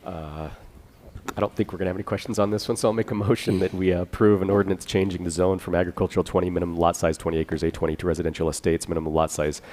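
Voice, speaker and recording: Deep fast-talking council member. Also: male voice, level tone, a little mellow. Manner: brisk, reads motion wording. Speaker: council member making a motion. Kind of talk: formal motion at a council meeting.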